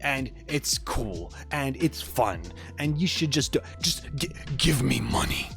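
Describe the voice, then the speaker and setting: Male game streamer, medium pitch, casual commentary, slangy, offhand, faint music in the background. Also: lively intonation, measured pace, vocal fry, fairly bright tone.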